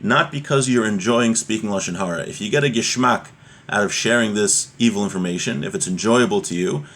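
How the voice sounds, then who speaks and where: A man with a fairly low voice teaches religion in an informal way. He reads and then explains point by point, often checking in with short rhetorical questions. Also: fairly quick, a bit bright.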